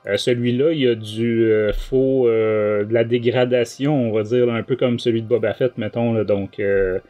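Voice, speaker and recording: A middle-aged man with a Québécois French accent, hosting a casual podcast chat in hesitant, halting phrases. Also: mid-range voice, steady tone, dark tone, lo-fi sound, faint music behind. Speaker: podcast host. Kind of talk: casual talk show chat.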